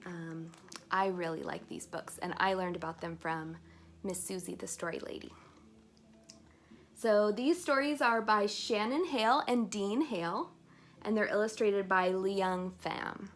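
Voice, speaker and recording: A woman reading a picture-filled chapter book aloud to young children, expressive and pausing to put questions to her listeners. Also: medium pitch, a little hiss or hum.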